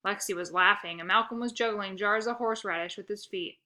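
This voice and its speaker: A woman narrating a children's book aloud with expressive, first-person storytelling. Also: mid-range voice, fairly quick.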